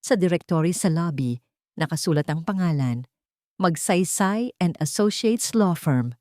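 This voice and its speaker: Low-pitched Filipino woman narrating, dry close sound. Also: lively intonation, unhurried, mellow, no echo. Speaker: story narrator. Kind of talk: scripted dramatic audiobook narration.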